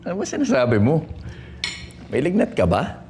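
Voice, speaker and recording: An actor playing a caring, playful young boyfriend in a romantic drama, coaxing in casual Taglish with a Filipino accent. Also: male voice, fairly high pitch, huge pitch swings, normal speed, vocal fry, dark tone, a little hiss or hum, full character voice. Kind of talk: naturalistic romantic TV drama acting.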